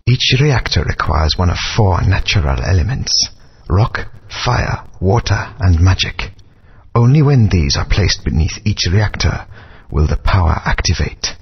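Slow husky old alien spirit, grave and whispery, muffled game audio. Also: male voice, sits low, full character voice. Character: ancient awakened alien spirit guardian, solemn. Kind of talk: game voice acting.

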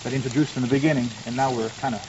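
A man's voice: mid-range voice, very fast. A man in his thirties, a restaurant owner, earnestly promoting his place in a TV studio interview with loose grammar. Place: TV studio set. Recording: muffled broadcast audio with steady hiss.

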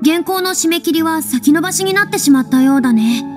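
An actress playing a proud yet earnest young woman in a video game, speaking in a measured, theatrical, formal way. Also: very high voice, lively intonation. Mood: earnest, subdued. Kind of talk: Japanese video game voice acting. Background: faint music.

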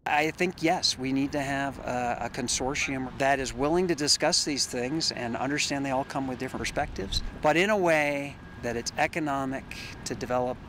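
A man's voice: medium pitch, normal speed, few pauses. Fifties man, expert interview outside, faint street noise behind. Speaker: research center director. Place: outdoors by a campus building.

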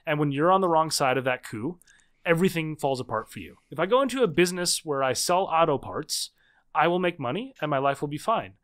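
A man in his thirties, a guest author in a conversational interview, explaining in quick, animated bursts with frequent restarts. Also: on the high side. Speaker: guest, political scientist and author.

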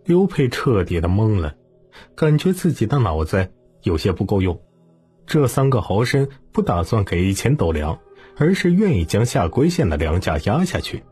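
A man narrating a historical novel as an audiobook in a dramatic, expressive storytelling manner. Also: fairly low pitch, moderate pace, breathy edge, faint music behind.

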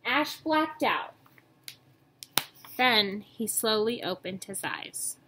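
A young woman reading a children's book aloud in a storytelling style, acting out dialogue and pausing to point out pictures. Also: medium pitch, big pitch swings. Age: young adult. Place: small room at home, indoors. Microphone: webcam or laptop mic at arm's length. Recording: noisy home webcam recording.

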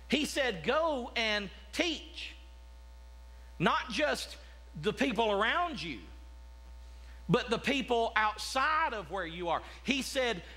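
Pastor, 30s, bright high voice, chatty sermon with restarts, church sanctuary. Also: male voice, low-quality recording. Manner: conversational preaching with frequent repeated restarts and asides. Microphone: podium mic, walking about the platform.

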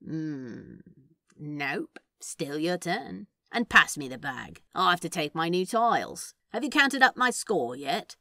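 Sardonic male demon played by a woman: lowish, bright, sly casual drawl, teasing. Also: sing-song, takes it slowly, no room sound, studio quality, full character voice. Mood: playful. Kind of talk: fan fiction dialogue reading.

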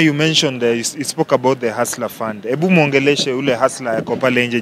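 A male reporter fires off quick questions on a city street outdoors. His voice is bright and forceful, and the pace stays rapid. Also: medium pitch. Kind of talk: interview questions.

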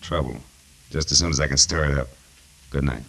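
An American actor playing a sardonic, hard-boiled private detective in 1940s radio noir, with clipped, wisecracking delivery. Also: male voice, very deep voice, a little fast, creaky. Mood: wry, cool. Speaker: lead actor and narrator. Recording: noisy vintage 1940s radio recording.

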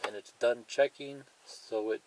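A man's voice: medium pitch, fairly even intonation, unhurried, a little mellow, poor audio. A man presenting a hands-on tutorial in a plain, methodical way, explaining each step and repeating it.

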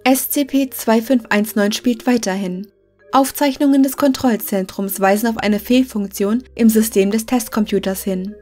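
Female narrator, highish voice, formal, matter-of-fact, clinical scripted reading, faint music underneath. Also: steady tone, normal speed, a little mellow.

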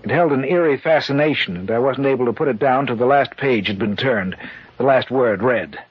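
A man's voice: medium pitch, fairly quick, slightly rough, lightly acted. An actor in a 1940s radio drama playing an uneasy, sober man who tells his own eerie story. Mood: ominous, sober. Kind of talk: 1940s radio acting, dramatic first-person narration. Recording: dull, noisy 1940s radio recording.

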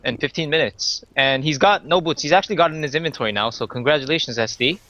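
A man's voice: on the high side, quick pace, bright, forceful tone, lo-fi sound. A young man from Canada co-casting an esports match, chatty and analytical, laughing often as he breaks down plays. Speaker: esports co-caster. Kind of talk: esports commentary.

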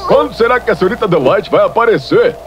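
A man voicing a bookish, practical young critter in an animated cartoon dub. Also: high voice, fast talker. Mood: thoughtful. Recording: loud music behind the voice. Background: music.

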